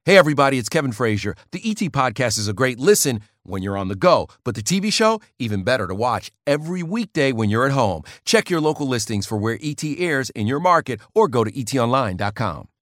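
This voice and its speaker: A man's voice: medium pitch, big pitch swings, fast talker, few pauses, a bit bright, dry recording, studio quality. A man hosting an entertainment news show, reading upbeat scripted links and chatting with guests.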